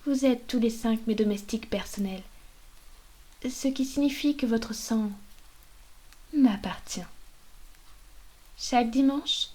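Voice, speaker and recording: Mellow, high-voiced haughty vampire mistress, acted by a woman. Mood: cold authority. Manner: poised, formal, condescending, deliberate. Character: haughty, menacing female vampire mistress of a noble house. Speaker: ASMR roleplay performer. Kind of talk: scripted ASMR roleplay.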